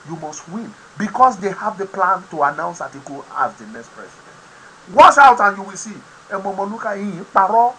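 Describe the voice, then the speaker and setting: A man with a Nigerian accent whose first language is Yoruba hosts an online program, giving impassioned political commentary with sudden loud, emphatic bursts. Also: high-pitched, slight background noise, poor audio. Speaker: host of an online talk program.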